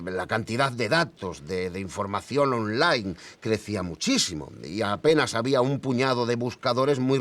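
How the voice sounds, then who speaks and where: A man's voice: mid-range voice, no echo. A man with an accent from Spain playing an academic historian, explaining reflectively with small questioning murmurs. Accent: Spanish from Spain. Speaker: expert interviewee. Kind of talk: conversational expert interview.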